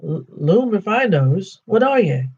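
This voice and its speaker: A man doing a big dim troll, slow and slangy. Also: high voice, very animated, a little mellow, muffled recording, pitched above the performer's own voice, exaggerated voice. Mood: gruff. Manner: rough slangy talk, slow and blustering. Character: big coarse male troll, dim and drunk.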